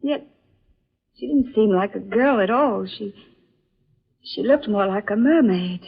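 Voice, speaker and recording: A woman playing a frightened young film actress haunted by dreams, breathless and halting in 1940s radio melodrama style. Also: medium pitch, creaky, breathy edge. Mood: shaken, horrified. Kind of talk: 1940s radio drama acting. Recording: muffled vintage radio recording.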